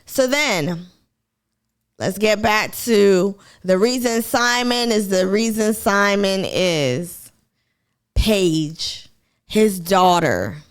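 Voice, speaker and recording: A woman's voice: mid-range voice, drawn-out words, clean tone. A woman from Kenya with a Kenyan accent, chatting casually to viewers on a live stream about a TV show. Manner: chatty, addresses viewers as y'all.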